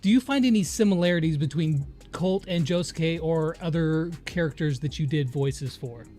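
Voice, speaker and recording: A man's voice: a little high, moderate pace, mellow, faint music behind. A man hosting a casual, conversational interview. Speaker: interview host.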